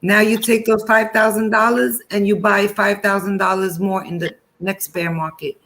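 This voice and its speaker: A man's voice: sits high, flat delivery, moderate pace, sharp tone. A man hosting a casual panel chat, upbeat and encouraging, signing off with blessings. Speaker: show host.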